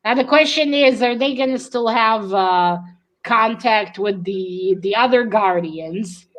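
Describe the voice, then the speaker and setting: A woman's voice: mid-range voice, measured pace. A woman co-hosting a read-along in casual discussion, thinking aloud and speculating with some hesitation. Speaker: co-host of a book read-along.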